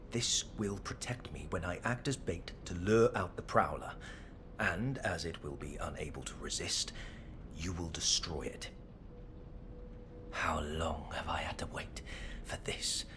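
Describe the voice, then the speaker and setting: An actor playing a grave, calculating male schemer in a video game, speaking in a solemn, measured, deliberate way. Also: sits low, a bit bright, slight background noise. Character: grave, calculating male schemer staking his life on revenge. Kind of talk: dramatic video game dialogue.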